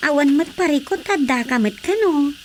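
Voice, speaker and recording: A grown daughter in a radio play, explaining the family news in a high voice. A faint hum of night ambience sits behind her. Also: lively intonation, normal speed. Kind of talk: radio drama acting.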